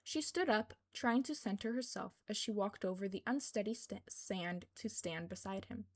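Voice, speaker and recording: A woman's voice: mid-range voice, moderate pace. A woman reading scripted fiction aloud as narrator of a fan fiction podfic.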